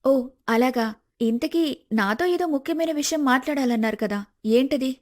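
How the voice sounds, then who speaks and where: A woman voicing a polite, grateful young woman in an animated moral story, respectful and earnest. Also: sits high, moderate pace, natural voice.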